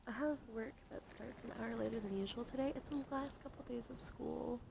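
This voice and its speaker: A woman livestreaming ASMR, chatting softly and soothingly to her viewers with affection, drifting between whispers and gentle speech. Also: on the high side, brisk, vocal fry, noisy background. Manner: affectionate toward listeners. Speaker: live streamer hosting ASMR. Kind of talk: ASMR, soft intimate chat with live viewers.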